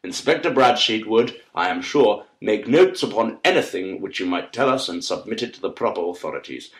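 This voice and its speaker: An actor playing a calm, commanding gentleman detective in a dramatised audiobook, with a measured, formal, assured manner. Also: fairly low pitch, close to an everyday voice. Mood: calm, advising.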